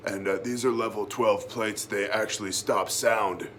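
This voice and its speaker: A man mimicking a boastful, macho, gun-obsessed bro who brags in halting dude-speak. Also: fairly low pitch, fairly even intonation, fairly bright tone, slight background noise. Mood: smug bragging. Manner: bragging, dude-speak with hesitations.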